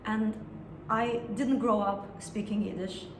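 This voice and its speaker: Russian-accented woman singer, reflective interview talk that pauses and restarts to find words; roomy sound. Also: medium pitch, steady tone. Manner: thoughtful, searching for words, frequent restarts. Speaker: singer and songwriter. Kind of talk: interview conversation. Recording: echoey room with some noise.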